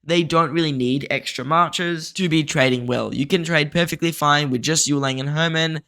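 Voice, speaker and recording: A man's voice: on the high side, steady tone, normal speed, bright, forceful tone. A young man with a sore throat and a blocked nose, explaining game strategy in a chatty, recommending way. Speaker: game guide creator.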